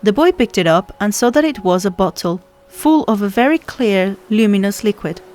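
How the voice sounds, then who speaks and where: A woman's voice: mid-range voice, expressive, normal speed, a little mellow. A woman reading a children's story aloud as scripted narration, as an audiobook narrator does. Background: music.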